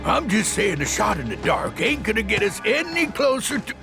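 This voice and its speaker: A man's voice: high-pitched, wildly swooping pitch, moderate pace, gravelly, heavily acted. A man voicing a bumbling, grumbling cartoon henchman in a video game, with folksy, uncouth slang. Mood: doubtful. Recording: music behind the voice.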